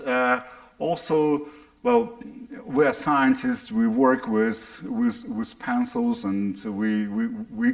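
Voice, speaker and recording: A middle-aged man with a Russian accent giving a public science lecture, halting and often repeating words as he restarts. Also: mid-range voice, gravelly.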